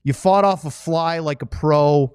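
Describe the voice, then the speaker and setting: A middle-aged man hosts a sports podcast in a smooth, clear voice pitched a little high. He chats easily in an anecdotal way, and the sound is dry and close. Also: a little slow, darkish tone, dry recording. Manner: chatty, anecdotal. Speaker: podcast host and sports broadcaster. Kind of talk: casual sports podcast chat.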